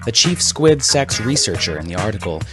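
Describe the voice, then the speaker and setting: A man's voice: fairly low pitch, sing-song, a little fast, over music. A man hosting a scripted comedy podcast with a wry, mock-serious delivery. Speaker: podcast host.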